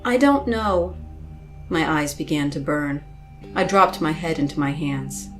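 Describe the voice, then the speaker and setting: A woman's voice: low-pitched, steady tone, normal speed, fairly bright tone, faint music behind, rough recording. A woman reading a first-person story as a scripted, dramatic narration.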